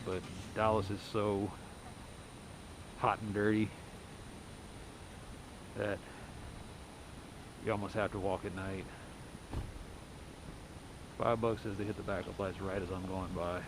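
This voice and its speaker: A middle-aged Texas man with a low voice and a light twang rambles bluntly as he walks. He is recorded outdoors on a body-worn mic. Cars and street rumble come through clearly behind him. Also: level tone, measured pace, pauses often. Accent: Texas, lightly softened. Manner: blunt, wry, talks while walking. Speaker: walking vlogger. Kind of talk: rambling night-walk monologue. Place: residential street at night, outdoors. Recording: street noise and passing cars.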